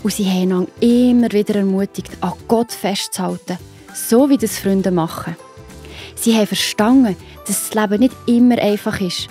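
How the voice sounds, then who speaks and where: A woman's voice: medium pitch, moderate pace, mellow. A woman with a Swiss German accent narrating a scripted Bible story for children. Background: music.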